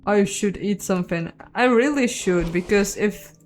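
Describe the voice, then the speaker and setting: A woman's voice: mid-range voice, moderate pace, faint music behind. A woman giving casual, playful let's play commentary, chatting and thinking aloud as she goes. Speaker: host of a game playthrough.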